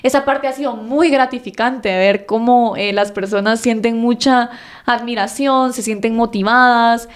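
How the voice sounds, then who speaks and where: Animated woman, bright-voiced. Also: fairly high pitch, moderate pace. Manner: animated, laughs often, fills with "¿verdad?" and "eh". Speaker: guest nutritionist. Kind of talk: casual podcast conversation.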